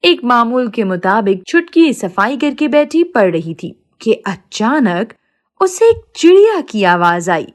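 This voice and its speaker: A woman's voice: fairly high pitch, sing-song, takes it slowly. A woman narrating a scripted moral story for children as a storyteller.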